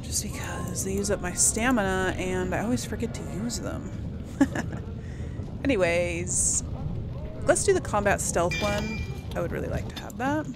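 A woman in a mid-range voice talks through a role-play game session, chatty and unhurried, puzzling things out aloud. Game music plays clearly under her commentary. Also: medium pitch. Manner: chatty, thinks aloud, laughs at herself. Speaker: let's play host. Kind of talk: casual gameplay commentary.

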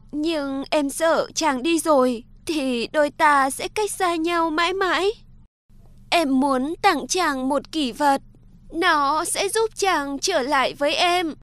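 A woman voicing a gentle, lovestruck young sea princess in a cartoon folktale, soft and pleading. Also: high-pitched, slow pace, no room sound. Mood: sad, pleading.

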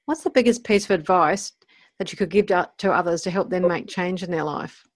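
A woman's voice: lowish voice, brisk, no room sound. A woman hosting a podcast, interviewing a guest in a conversational style.

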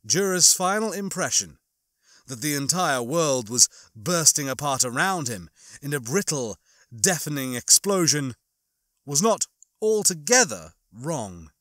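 Bright-voiced man narrating with theatrical emphasis. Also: on the high side, big pitch swings, a little slow, dry recording, studio quality. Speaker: audiobook narrator and channel host. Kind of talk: dramatic scripted narration of a horror novel.

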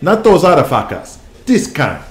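An actor playing a hot-headed young male wrestler who swears and shouts his demands. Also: a little high, huge pitch swings, moderate pace, reverberant, faint music behind. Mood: fired up.

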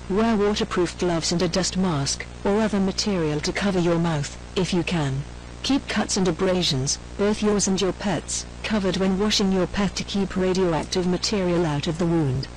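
Low-pitched woman announcer over humming noise. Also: poor audio. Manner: formal, measured, impersonal. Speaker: emergency broadcast announcer. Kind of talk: scripted emergency announcement narration.

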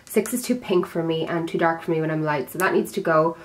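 A young woman vlogging casually at her makeup drawers, chatty and self-deprecating, her voice a little raspy from being sick. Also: deep voice, brisk. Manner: chatty, self-deprecating, talks while handling products. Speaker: beauty vlogger. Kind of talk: casual chat to camera.

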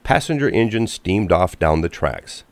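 A man narrating a children's storybook from a script in an expressive, clearly paced manner. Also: deep voice, normal speed, no room sound.

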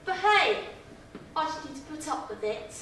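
A woman's voice: high voice, big pitch swings, moderate pace, pushed and bright, pitched above the performer's own voice, exaggerated voice. A young woman playing a brash, vain, exasperated actress in a sassy, theatrical comic stage monologue. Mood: indignant, confiding. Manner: sassy, theatrical, swearing confidante. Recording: very echoey, distant, noisy room.

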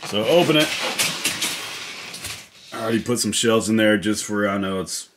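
A man in his thirties casually talking through a home building project, relaxed and rambling with lots of fillers and restarts. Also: on the low side, normal speed, a little hiss or hum. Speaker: DIY vlogger. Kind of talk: casual how-to narration. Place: tiny house interior and outdoor deck.

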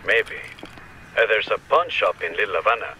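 A bright-toned phone contact. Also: male voice, on the low side, animated, moderate pace, slight background noise, poor audio. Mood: calm. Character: laid-back male gang associate on a phone.

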